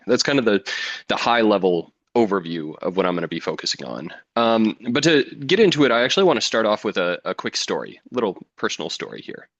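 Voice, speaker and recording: A man in his thirties from Wisconsin with an American accent, presenting a physics education webinar in a relaxed, chatty way with many ums. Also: lowish voice, no echo. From Wisconsin, United States. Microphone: computer mic on a video call.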